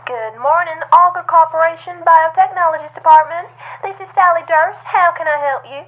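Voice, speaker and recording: High-pitched female receptionist on the phone. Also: brisk. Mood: polite, businesslike. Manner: polite, scripted customer-service formality. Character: polite, brisk, unhelpful female corporate receptionist. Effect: telephone filter. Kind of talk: audio drama acting, phone call. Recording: thin narrow telephone line.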